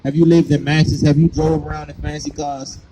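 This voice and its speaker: A man's voice: on the high side, level tone, a little fast, noisy background. A man preaching on the street, pressing his points with repeated questions. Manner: insistent, hammers points with repeated "right?".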